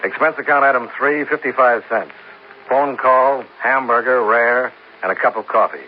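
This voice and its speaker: A man's voice: medium pitch, moderate pace, lightly acted. A man playing a dry, clipped insurance investigator. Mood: narrating. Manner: clipped, dry, wry questioning. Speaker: narrator and lead detective. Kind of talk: 1950s radio detective drama. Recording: dull old radio recording with faint hiss.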